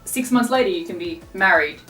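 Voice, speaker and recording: A woman hosting a casual, chatty vlog in an animated storytelling way. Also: lowish voice, brisk. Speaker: vlog host. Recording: roomy, distant mic with faint music.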